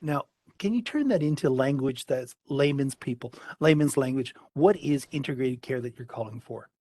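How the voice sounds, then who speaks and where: A man's voice: mid-range voice, expressive, moderate pace, mellow, dry recording. Older Canadian man questioning on a call. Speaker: committee member questioning witnesses. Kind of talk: parliamentary hearing questions. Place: home study, indoors. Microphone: headset on video call.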